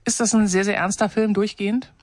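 A woman's voice: mid-range voice, steady tone, fairly quick, a little mellow, no room sound. A woman co-hosting a radio show, presenting conversationally and asking short questions. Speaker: radio co-host.

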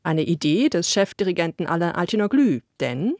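A woman's voice: lowish voice, sing-song, brisk, a little mellow, dry recording, studio quality. A woman presenting a classical concert broadcast on the radio, telling the story of the music in a light, engaging way.

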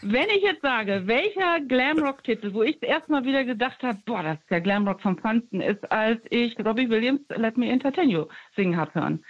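A woman's voice: fairly high pitch, expressive, moderate pace, few pauses, no echo. A woman in her fifties calling a radio show, talking hesitantly with many fillers. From Germany. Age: 50s. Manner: hesitant, rambling, lots of fillers. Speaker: caller. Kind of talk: phone-in chat. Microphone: phone line. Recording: dull phone line.

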